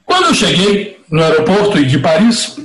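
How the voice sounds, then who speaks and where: High-pitched, echoey Brazilian man telling stories. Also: big pitch swings, moderate pace, crowd noise. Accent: Brazilian Portuguese. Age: middle-aged or older. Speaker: interview guest, veteran concert producer. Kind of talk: casual anecdotal storytelling. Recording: roomy, echoey sound.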